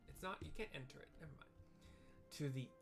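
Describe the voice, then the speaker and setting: A man's voice: on the high side, fast, music in the background. A man giving casual, descriptive commentary on a video game in an informal, meandering way.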